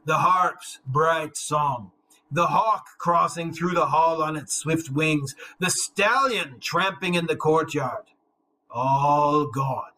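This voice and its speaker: The last survivor of a dead race , voiced by a man reading aloud, mourning in epic verse. His delivery is slow, solemn and elegiac, in a bright, slightly high voice over faint noise. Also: low-quality recording. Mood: solemn grief. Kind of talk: dramatic epic poetry reading.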